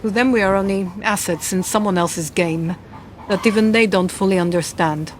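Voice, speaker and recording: Woman acting as world-weary Polish spy, female, cool, deliberate, formal, radio drama, clear background noise. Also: medium pitch, lightly acted. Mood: defiant, weary.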